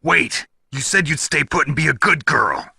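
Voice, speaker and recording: An actor playing a gruff, battle-hardened soldier in a video game, with a gravelly, curt and world-weary delivery. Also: male voice, on the high side, lively intonation, moderate pace, sharp tone, heavily acted. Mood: alarmed, urgent. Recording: dramatic music behind the voice.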